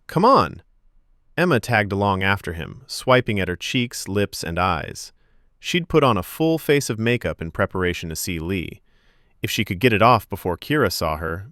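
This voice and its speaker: A man reading scripted fiction as a podcast narrator, with expressive storytelling delivery. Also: deep voice, dry recording, studio quality.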